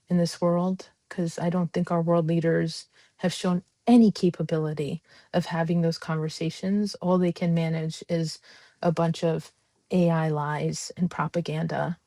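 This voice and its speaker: Podcast guest, woman, earnest and fervent, low-pitched, dry close sound. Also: mellow, dry recording. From United States. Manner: earnest and impassioned, frequent ums and restarts. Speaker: guest, democracy advocate. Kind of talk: conversational podcast interview.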